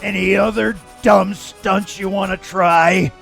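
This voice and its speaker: A man voicing a cocky, thuggish supervillain in a comic dub, gravelly and taunting in slangy menace. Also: high-pitched, steady tone, takes it slowly, fairly bright tone, faint music behind, full character voice. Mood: mocking. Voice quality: gravelly, raspy. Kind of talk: comic book dub voice acting.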